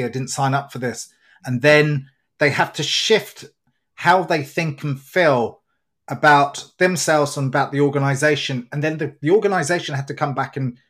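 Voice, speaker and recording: A man's voice: mid-range voice, a bit bright. A man speaking as a podcast host in a reflective conversation, giving earnest, rambling explanations.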